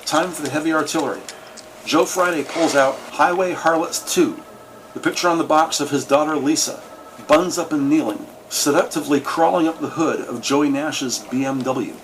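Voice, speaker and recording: A man's voice: on the high side, normal speed, slight background noise, poor audio. A man narrating a scripted crime audio drama with vivid, slightly wry storytelling.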